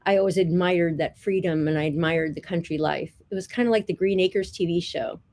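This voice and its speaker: A woman's voice: lowish voice, a little fast, darkish tone. A middle-aged woman from New Jersey, talking in a casual, earnest testimony to the camera, chatty and repeating herself with many "um"s. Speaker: vlogger.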